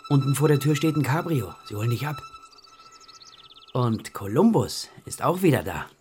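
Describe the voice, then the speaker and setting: A man playing a tired composer father, gruff but tender, with dry, laconic delivery in a German radio play for children. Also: mid-range voice, sing-song, moderate pace, darkish tone, soft background music, natural voice. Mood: gently teasing.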